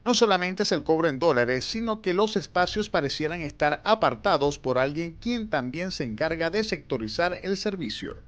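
A man's voice: fairly high pitch, lively intonation, a bit bright, slight background noise. Formal male news narrator. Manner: measured, formal reading. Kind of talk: scripted news voice-over.

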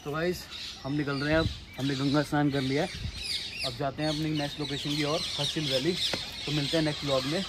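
A man's voice: on the high side, flat delivery, fast, heavy background noise. A young man, a travel vlogger, chatting casually to his phone camera held at arm's length, outdoors by a river and later in a car and a restaurant. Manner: chatty, talks straight to the camera while traveling. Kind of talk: casual travel vlog narration.

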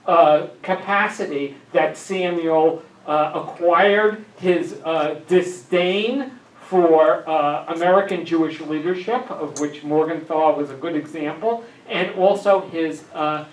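Older male lecturer, higher voice, halting delivery with many fillers, heard in an echoey hall from a distant camera. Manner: many filler uhs, dry asides. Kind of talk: academic lecture. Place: dim lecture room with projector. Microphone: handheld mic. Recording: echoey room, camera far off.

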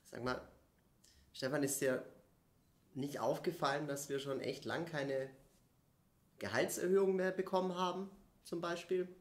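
A man's voice: a little high, a little slow, long pauses, lightly acted. A man in an improvised audio play playing a downcast, doubting warehouse worker who speaks hesitantly. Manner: hesitant, brooding. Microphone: microphone on stand. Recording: roomy stage sound.

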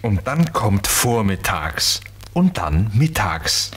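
A man playing a sleepy, patient father in a 1970s German children's radio play, husky and drowsy. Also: on the low side, very animated, fairly bright tone, heavily acted. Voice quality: husky, breathy. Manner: drowsy, slow.

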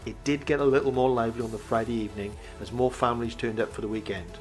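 A man reading a scripted campsite review voice-over in an even, measured way. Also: medium pitch, faint music behind.

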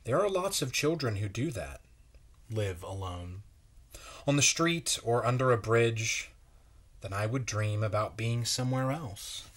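Male, thirties, lower register, measured and wondering storybook read-aloud. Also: lively intonation, fairly bright tone. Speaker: children's story reader. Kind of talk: picture book read-aloud. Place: small bright room at home, indoors.

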